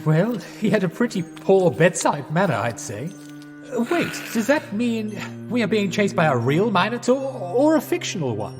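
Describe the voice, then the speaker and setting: A dithering young English gentleman of the 1920s, hesitant and politely squeamish. His English-accented voice jumps about in pitch, in radio-drama style. It rings in a long reverb over music. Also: wildly swooping pitch, moderate pace. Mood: bewildered.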